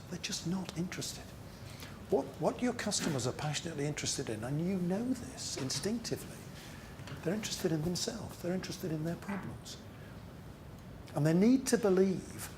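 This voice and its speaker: Emphatic male presentation trainer, slightly noisy room. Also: a little high. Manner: emphatic, rhetorical questions and deliberate repetition. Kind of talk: interactive training seminar talk to a group.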